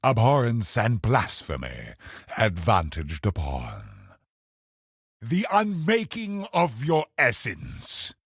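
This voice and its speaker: Husky, slow, doom-laden cosmic sorcerer. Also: male voice, mid-range voice, wildly swooping pitch, dry recording, studio quality, heavily acted. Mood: dark, incantatory. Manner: solemn, portentous, dramatic slow delivery. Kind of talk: theatrical video game villain voice lines.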